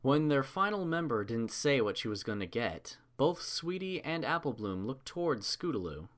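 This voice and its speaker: A man narrating a fan fiction story in an animated storytelling style. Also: mid-range voice, normal speed.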